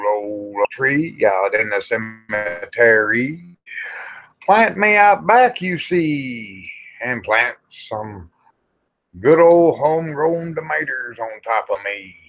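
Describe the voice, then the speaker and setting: A man's voice: medium pitch, animated, slow, a bit bright. A middle-aged man, hosting a casual livestream chat in a folksy, rambling, salty-tongued way. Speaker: livestream host. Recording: dull lo-fi livestream audio.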